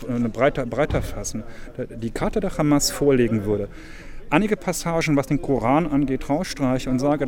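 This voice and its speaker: A man giving spontaneous, argumentative interview commentary, halting and often repeating words as he searches for phrasing. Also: mid-range voice. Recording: noisy outdoor street with traffic.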